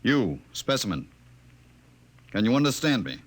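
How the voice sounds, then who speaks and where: Pompous official, sweeping intonation. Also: male voice, on the low side, sing-song, measured pace. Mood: disdainful. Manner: pompous, imperious, stiff. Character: haughty, domineering man, director of emotional control. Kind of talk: 1950s radio drama acting.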